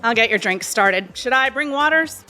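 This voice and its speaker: A woman playing a brisk, attentive bar server in an audio drama. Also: fairly high pitch, lively intonation, pushed and bright, soft background music. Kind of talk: audio theatre acting.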